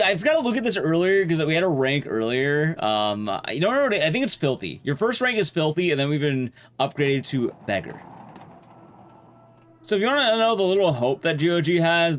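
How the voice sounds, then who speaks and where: A man's voice: on the high side, fairly bright tone. A man livestreaming a video game, giving casual gameplay commentary while chatting with his viewers.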